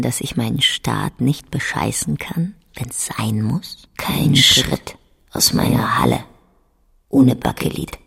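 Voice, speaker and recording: Blunt warehouse woman, slow deliberate delivery, heavy fry, mocking, breathy half-whispers, radio play. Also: extremely low pitch, fairly bright tone, heavily acted. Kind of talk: stylised radio play acting.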